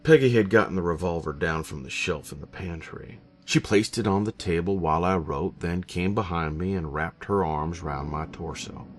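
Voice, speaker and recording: A man reading a first-person horror story in a deliberate, theatrical way with dramatic pauses. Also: deep voice. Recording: narration over background music. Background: eerie background music.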